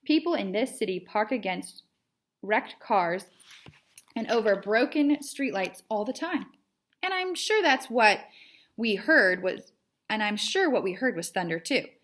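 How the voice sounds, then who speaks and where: A woman's voice: medium pitch, animated, measured pace, a bit bright. A woman voicing a patient, sensible but exasperated male storybook cat who explains things carefully and hesitantly. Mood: placating. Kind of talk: children's storybook character read aloud.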